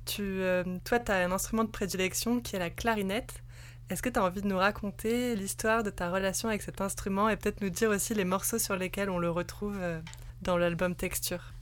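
A woman hosting a radio interview, asking gentle, open questions with small pauses. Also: mid-range voice, fairly even intonation, a little hiss or hum.

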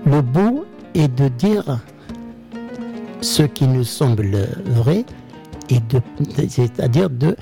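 A male radio host, not a native French speaker, talking reflectively and haltingly, often pausing to search for words. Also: mid-range voice, lively intonation, creaky, over music. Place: radio studio.

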